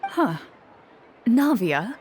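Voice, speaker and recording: A woman's voice: a little high, very animated, background noise, full character voice. A woman playing a composed, earnest young game master in a video game, speaking formally and matter-of-factly. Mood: serious. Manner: measured, precise, matter-of-fact. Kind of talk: English video game voice acting.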